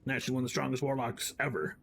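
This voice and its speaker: A man's voice: mid-range voice, quick pace, gravelly, fairly bright tone, a little hiss or hum. A man in casual gaming chat, commenting as he plays. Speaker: gamer commenting during play.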